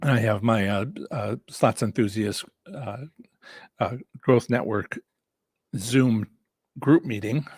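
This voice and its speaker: A man with a slightly rough, husky voice, worn from talking, hosting a casual instructional live stream in a halting, rambling way with repeated restarts. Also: on the low side, darkish tone, dry recording. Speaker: host and instructor of a live stream on slots strategy.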